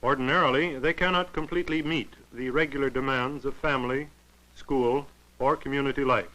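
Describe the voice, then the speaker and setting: A man narrating a 1940s educational film in a formal, clinical, measured way. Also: mid-range voice, steady tone, pushed and bright, rough recording.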